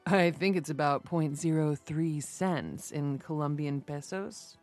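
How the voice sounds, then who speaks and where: Lady narrator as an easygoing young guy, lowish voice, dry, calm story dialogue. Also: measured pace, soft background music. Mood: dry, amused. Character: Justin, easygoing young man, dry and reassuring.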